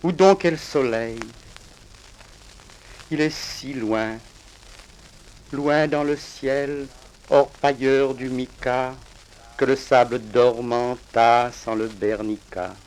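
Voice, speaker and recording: A man from Reunion Island reciting his own poems in a solemn, measured, declamatory way with deliberate pauses, on a crackly vinyl record. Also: mid-range voice, steady tone, darkish tone. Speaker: poet reading own work.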